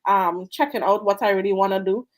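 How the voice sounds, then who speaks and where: A woman from Trinidad and Tobago with a Trinidadian accent, speaking on a video call as an interview guest, earnest and warm and quick to laugh. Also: medium pitch. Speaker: guest, online business mentor. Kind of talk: casual interview.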